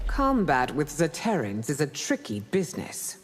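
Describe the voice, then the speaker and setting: A woman's voice: deep voice, huge pitch swings, normal speed, husky, exaggerated voice. A woman playing a stern, dignified empress in a video game, speaking in a regal, formal manner. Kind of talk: video game fight banter. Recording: reverberant hall-like game sound.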